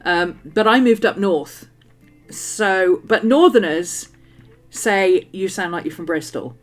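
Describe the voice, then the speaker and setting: A woman's voice: mid-range voice, slow. A middle-aged woman from England's West Country, with a mixed West Country and Manchester accent, chatting casually while she crafts, bubbly and full of ums and laughs. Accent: English, mix of West Country and Manchester. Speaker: journaling streamer. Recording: faint background music.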